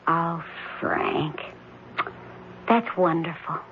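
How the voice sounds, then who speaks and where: An actress playing a gently persistent, concerned middle-aged wife in a 1970s radio drama, with a questioning manner and a creaky voice. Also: female voice, sits low, drawn-out words, heavily acted. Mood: surprised, admiring. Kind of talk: 1970s radio drama acting, domestic dialogue. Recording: dull vintage radio recording, faint noise.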